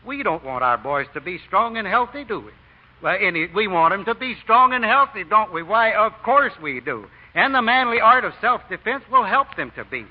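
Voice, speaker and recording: Sly, preachy husky deacon. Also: male voice, high-pitched, sing-song, moderate pace. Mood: rousing, preachy. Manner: preachy, folksy, oratorical. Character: folksy, smooth-talking deacon, a sly card sharp. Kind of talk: 1930s-40s radio acting. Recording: muffled old radio broadcast.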